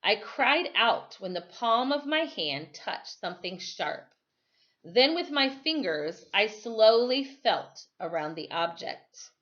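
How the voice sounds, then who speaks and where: A woman reading a novel aloud as a storyteller, with careful, dramatic phrasing and expressive narration. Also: mid-range voice, slow pace. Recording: dull, lo-fi sound.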